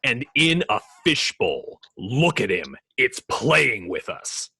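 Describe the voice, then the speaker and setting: A man playing a grim, guarded, battered superhero in a film-script table read, low and gritty, with terse, intense delivery. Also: sing-song, slow, vocal fry, bright, forceful tone, breathy edge, no echo, exaggerated voice. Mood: urgent, stern. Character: grim guarded male vigilante superhero, battered and guilt-ridden.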